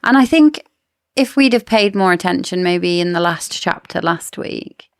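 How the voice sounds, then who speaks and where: A woman's voice: on the low side, expressive, normal speed, clear, resonant tone, no echo, studio quality. A woman co-hosting a podcast, casually discussing books in an explanatory, speculative way. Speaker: podcast co-host.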